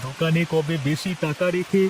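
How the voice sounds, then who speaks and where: A man's voice: a little high, steady tone, a little fast, noisy background, close to an everyday voice. A man voicing a crafty thief's plotting sidekick in a cartoon. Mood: scheming. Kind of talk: cartoon voice acting.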